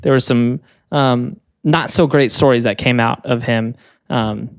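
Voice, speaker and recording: A man co-hosts a podcast, telling a story in loose casual chat. He halts often and restarts his sentences, with fillers throughout. His voice sounds close and dry, with no room echo and pitch in a middle range. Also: steady tone. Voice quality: halting, full of fillers and restarts. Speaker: podcast co-host.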